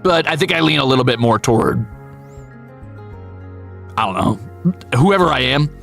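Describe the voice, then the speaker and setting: A man streams casually over soft background music. His voice is bright and forceful with plenty of gravelly fry. He talks candidly and playfully, swearing and mocking himself as he answers viewers. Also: medium pitch, expressive, normal speed. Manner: candid, playful, profane, self-deprecating. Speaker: VTuber streamer and voice actor.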